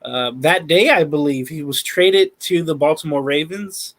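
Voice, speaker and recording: A man co-hosting a sports talk show, recapping news in a steady, methodical way with many fillers. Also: on the high side, normal speed. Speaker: podcast co-host.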